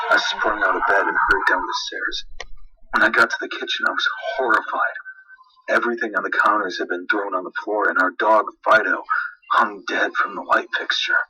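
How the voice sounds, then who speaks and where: A man's voice: lowish voice, animated, normal speed, pushed and bright, husky, muffled recording. A man reading a scripted first-person horror story as narrator, with dramatic, suspenseful delivery.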